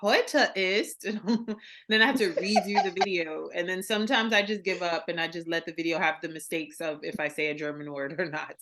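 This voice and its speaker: A woman, interviewing on a video call from a room at home, telling animated stories and acting out quoted lines. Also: lowish voice, normal speed. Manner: animated storyteller, acts out quoted lines, laughs often.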